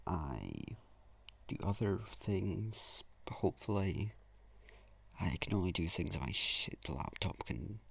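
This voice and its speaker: A teenage boy rambling self-mockingly through a low-key channel intro in a flat, gravelly voice. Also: slow pace. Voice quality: gravelly, husky, flat-sounding voice. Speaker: YouTuber. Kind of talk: casual channel intro voice-over.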